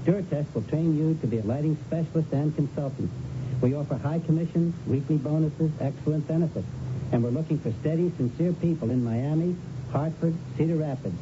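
A man's voice: fairly high pitch, fairly quick. An American man, a company president, reading a scripted radio advertisement in an earnest, declarative way. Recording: muffled, noisy vintage radio.

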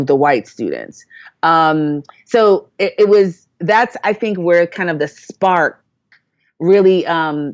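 A woman's voice: low-pitched, no echo, dull audio. A woman from Jamaica, a guest in an interview, talking earnestly and thoughtfully with many fillers and restarts. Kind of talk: reflective conversational storytelling.

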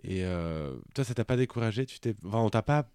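A man in his thirties co-hosting a podcast, a drag performer who talks in a lively, chatty way. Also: fairly low pitch, clear, resonant tone, no echo, clean studio sound. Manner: lively and talkative, quick to laugh. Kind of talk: casual podcast chat.

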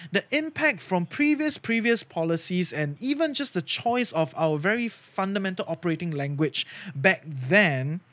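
A man's voice: high voice, expressive, normal speed, a bit bright. A man from Singapore hosting a solo podcast, talking earnestly and casually, often tagging his sentences with "right?" and "you know". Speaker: podcast host.

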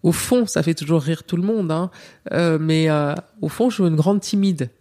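A middle-aged woman, a TV journalist, speaking reflectively in an interview. She often pauses with fillers and restarts her sentences. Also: sits low, dark tone. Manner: hesitant, many fillers and restarts.

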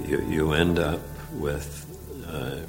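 Very deep, gravelly older man. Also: steady tone, music in the background. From Nova Scotia, Canada. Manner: halting, many "uh" fillers and restarts. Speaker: former provincial legislator. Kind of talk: interview storytelling.

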